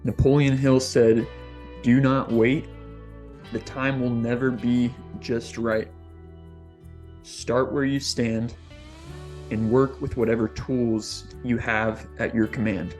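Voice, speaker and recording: Man guiding a calm meditation. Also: mid-range voice, slow pace, pauses often, faint music behind. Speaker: podcast guest, fitness coach. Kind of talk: guided meditation.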